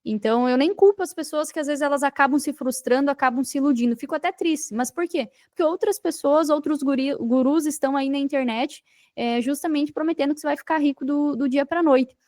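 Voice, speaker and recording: Brazilian woman on a podcast, really high voice, dry close sound, emphatic, chatty. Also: brisk, darkish tone, no room sound. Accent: Brazilian Portuguese. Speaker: podcast guest, digital marketing entrepreneur. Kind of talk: conversational interview storytelling.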